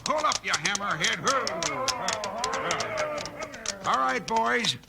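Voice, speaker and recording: An actor playing a ruthless, boastful western gang boss with an American accent in a 1950s radio drama, with a swaggering, bullying manner. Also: male voice, very high voice, expressive, takes it slowly, gravelly, exaggerated voice. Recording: noisy old radio recording, long reverb.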